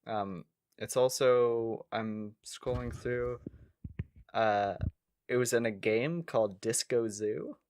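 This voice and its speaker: A man's voice: mid-range voice, slow, darkish tone, slight background noise. A man co-hosting a comedic podcast, chatting casually and easily amused. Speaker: podcast co-host.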